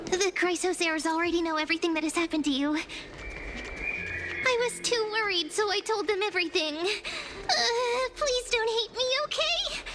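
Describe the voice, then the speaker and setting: Sweet, eager girl sidekick; very high voice, anxious to please. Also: moderate pace, background noise. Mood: sheepish.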